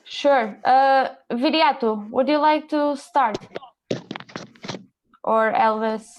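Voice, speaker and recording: A woman's voice: high-pitched, slow, a little hiss or hum, rough recording. A woman moderating an online seminar, speaking casually and politely with tentative, hesitant phrasing.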